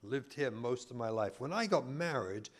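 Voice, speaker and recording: A man's voice: mid-range voice, lively intonation, moderate pace, slightly rough. A middle-aged man from England with an English accent, a rabbi giving a humorous talk to an audience in a community hall, telling stories with mock-indignant asides.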